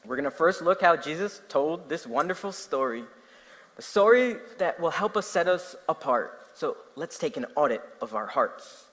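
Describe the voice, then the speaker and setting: Young jersey guy preaching, voice sits a bit high, big reverby hall. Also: normal speed. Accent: New Jersey. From New Jersey. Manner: animated storytelling, asking the audience questions. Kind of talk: sermon.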